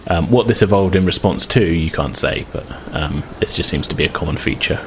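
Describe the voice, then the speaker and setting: A man with a very low voice acts as game master for a tabletop game. He explains the scene in a hesitant, rambling flow, making it up as he goes. There is some faint noise behind him. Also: fairly quick. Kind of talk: improvised narration of a tabletop game.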